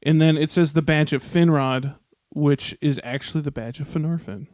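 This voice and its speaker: Higher-voiced man, informal and dry-sounding. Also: moderate pace, darkish tone, no echo. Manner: informal, jokey. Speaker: podcast host. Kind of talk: casual fan podcast discussion.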